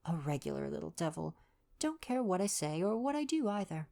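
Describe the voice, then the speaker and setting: A woman's voice: fairly low pitch, sing-song, a little fast, creaky, warm tone, voice pushed up. A woman voicing a cheeky, slangy young American-born actress and acrobat in a read-aloud novel. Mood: playful, bold. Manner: slangy, brash, playful.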